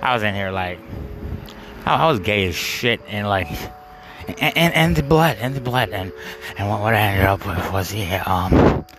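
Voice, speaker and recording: A man's voice: lowish voice, animated, moderate pace, background noise. A man telling a rambling personal story, full of fillers, restarts and bursts of laughter at himself. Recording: music playing behind.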